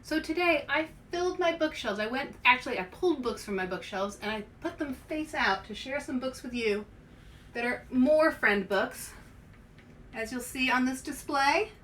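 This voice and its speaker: A woman hosting a children's read-aloud, expressive and speaking directly to young listeners. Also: high-pitched, moderate pace. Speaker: author and show host. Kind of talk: children's picture book read-aloud with casual asides. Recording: faint background noise.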